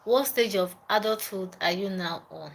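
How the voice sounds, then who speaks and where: A woman's voice: mid-range voice, normal speed, creaky, slight background noise. Chatty young Nigerian woman livestreaming. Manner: chatty, repeats herself, greets viewers by name. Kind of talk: casual live-stream chat.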